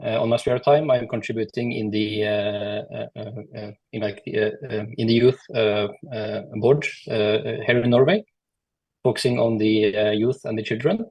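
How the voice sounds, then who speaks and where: A man in his twenties introducing himself on a video call, very hesitant and halting. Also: fairly low pitch, darkish tone, slightly rough, dry recording, rough recording. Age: 20s. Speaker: software engineer, youth volunteer. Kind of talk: hesitant self-introduction in an online meeting.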